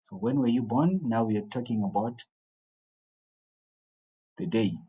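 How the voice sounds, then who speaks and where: Man, language teacher, patient, repeats phrases, husky, muffled lo-fi sound. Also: fairly low pitch, measured pace, dark tone. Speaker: French teacher. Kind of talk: beginner language lesson.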